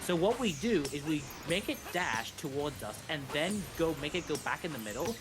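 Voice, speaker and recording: A man's voice: a little high, very animated, normal speed. A man giving live, explanatory gameplay commentary on a speedrun, often repeating and rephrasing as he goes. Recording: music behind the voice. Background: game music.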